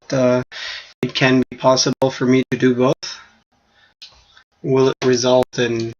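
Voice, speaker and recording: A man's voice: medium pitch, darkish tone. A man whose first language is French, hosting a casual solo podcast and thinking aloud with small restarts. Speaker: podcast host. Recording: dull lo-fi stream audio with faint noise.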